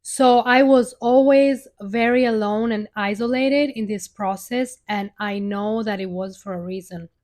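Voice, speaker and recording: A woman's voice: medium pitch, unhurried, few pauses, a little mellow. A young woman with an Italian accent, a native Italian speaker, telling her own story on a live stream in an earnest way, repeating and restarting phrases as she goes. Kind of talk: personal storytelling monologue.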